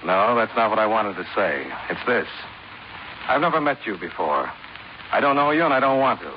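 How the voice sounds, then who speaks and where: An actor playing a tough, unflappable insurance investigator, terse and deadpan, in 1950s radio drama style. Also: male voice, lowish voice, close to an everyday voice. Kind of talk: 1950s radio drama acting with first-person narration. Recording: noisy muffled 1950s radio recording.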